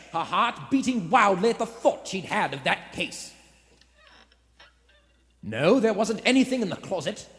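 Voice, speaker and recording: A man narrating a vintage radio suspense play in a theatrical, suspenseful manner. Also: high voice. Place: theater stage before a live audience. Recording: live theater reverb with music behind. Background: music.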